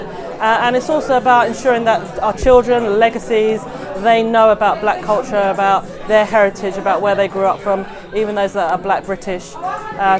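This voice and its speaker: A woman gives an informal interview answer. Her voice sits at a middle pitch. Music and a chattering crowd are clearly heard behind her. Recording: music and crowd in large room. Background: music and chatter at indoor event.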